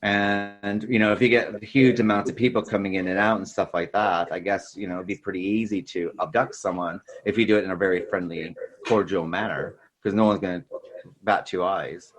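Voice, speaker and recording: A man with a fairly low voice talks casually as a podcast co-host. He explains his points in a loose, rambling way, thinking aloud as he goes. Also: steady tone, brisk, rough recording. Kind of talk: casual film discussion.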